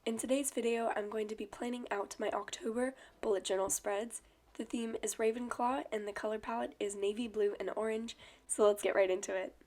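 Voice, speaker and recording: A young woman with a hoarse, croaky voice from illness, calmly explaining each step of her drawing in a voice-over. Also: on the high side, fairly quick. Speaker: bullet journal vlogger. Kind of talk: voice-over narration of a drawing tutorial.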